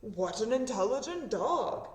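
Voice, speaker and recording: A keen, amazed storybook gentleman, put on by a woman reader. The delivery is emphatic and exclaiming, with big pitch swings. It sounds roomy and slightly away from the microphone. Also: big pitch swings, measured pace. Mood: polite, impressed. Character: amazed, keen gentlemen in an old seaside town. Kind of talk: children's storybook read-aloud. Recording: roomy echo, distant microphone.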